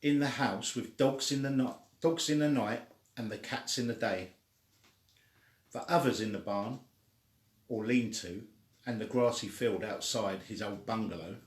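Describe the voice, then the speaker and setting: A man's voice: on the low side, a little slow. A man reading a children's story aloud in an informal, homespun way. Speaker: story reader. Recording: echoey room.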